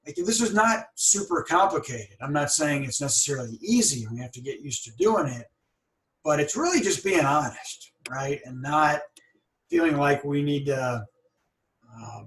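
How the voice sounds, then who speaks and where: A man's voice: fairly high pitch, a bit bright. A man hosting an interview podcast, who stutters and restarts words as he talks in a thoughtful, encouraging way. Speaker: podcast host. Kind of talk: conversational interview. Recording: roomy, a bit far from the mic.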